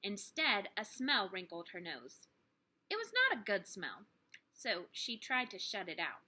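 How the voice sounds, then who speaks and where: A woman's voice: mid-range voice, very animated, a little slow, bright, forceful tone, breathy edge. A woman reading a children's book aloud expressively, with dramatic, emphatic phrasing for young listeners. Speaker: teacher reading a story aloud.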